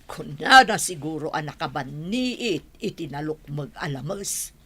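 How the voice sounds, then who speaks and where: A woman acting a stern, snobbish middle-aged mother in a radio drama, with an Ilocano Filipino accent and a husky voice. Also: low-pitched, lively intonation, measured pace, fairly bright tone, heavily acted. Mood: scheming.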